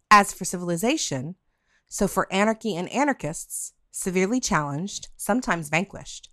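A woman's voice: medium pitch, no echo, clean studio sound. A woman podcast host reading a scripted topic piece aloud.

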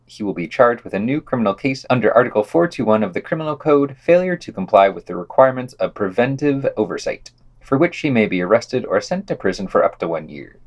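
A man's voice: fairly low pitch, dry recording. A man presenting a podcast, reading a scripted essay and news items aloud.

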